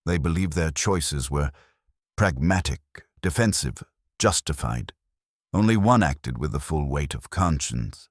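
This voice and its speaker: A man's voice: a bass voice, expressive, measured pace, slightly rough, no echo, studio quality, full character voice. A man voicing a stern, pedantic, imperious detective with formal, precise phrasing, in an audiobook.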